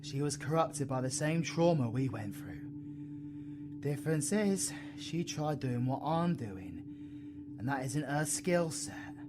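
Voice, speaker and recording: A man with a British accent playing a brooding, foul-mouthed dark-magic supervillain in an audio roleplay, intense and full of slang. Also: mid-range voice, animated, measured pace, noisy background, close to an everyday voice. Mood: pleading, subdued. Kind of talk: dramatic audio roleplay acting.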